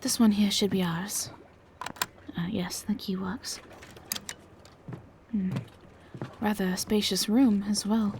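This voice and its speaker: Gentle vampire traveler, played by a woman: mid-pitched female voice, restrained melody, soft and courteous, audio drama with some ambient noise. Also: fairly even intonation, takes it slowly, pauses often, lightly acted. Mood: quietly pleased. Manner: soft-spoken, polite, hesitant. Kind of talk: roleplay audio drama addressed to the listener.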